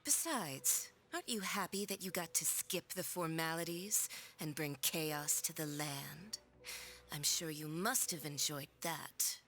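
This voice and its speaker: A woman's voice: deep voice, unhurried, fairly bright tone. An actress playing a haughty, sardonic female villain in a video game, mocking, condescending and coldly commanding. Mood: amused, condescending. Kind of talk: video game cutscene acting.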